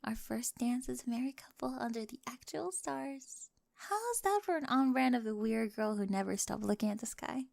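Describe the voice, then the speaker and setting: A woman's voice: a little high, moderate pace, creaky. A woman playing a quirky, data-obsessed young bride in a scripted ASMR roleplay, speaking technical phrases with earnest, adoring precision. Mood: loving, earnest. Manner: precise, earnest recital of technical wording with giggly excitement.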